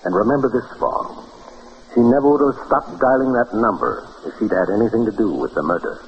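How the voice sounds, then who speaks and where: A man's voice: fairly low pitch, expressive, brisk. An actor playing a self-satisfied lawyer-detective who theatrically poses and answers his own questions, in old-time radio drama style. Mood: eager, insistent. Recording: muffled old radio broadcast, faint hiss.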